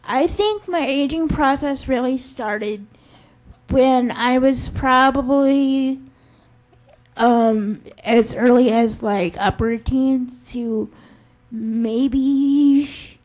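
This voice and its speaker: A woman from North Carolina with a high voice and a slow pace. She talks casually and searches for words as she goes. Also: a little mellow, low-quality recording. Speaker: conference attendee. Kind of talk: self-introduction.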